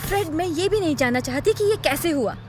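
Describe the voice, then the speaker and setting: A woman playing a brainy, bossy, energetic girl in a cartoon dub, speaking briskly and assertively. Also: very high voice. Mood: determined. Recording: music behind the voice.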